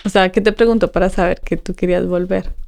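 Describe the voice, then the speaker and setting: A woman's voice: lowish voice, fairly even intonation, fast talker, creaky, a little mellow. A woman co-hosting a podcast in casual conversation, encouraging her partner and helping him find words. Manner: encouraging, supplies missing words. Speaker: podcast co-host. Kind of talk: casual conversation, listening and prompting.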